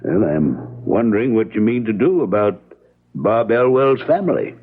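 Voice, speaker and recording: An actor playing a reserved, evasive American attorney in old-time radio drama style, hedging in a measured, matter-of-fact way. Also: male voice, on the low side, expressive, husky. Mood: polite, guarded. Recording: tinny, narrow-band vintage radio broadcast.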